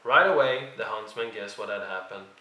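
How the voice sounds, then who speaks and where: A man narrating a children's read-along story in an expressive storytelling style. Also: lowish voice, moderate pace. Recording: roomy, distant microphone.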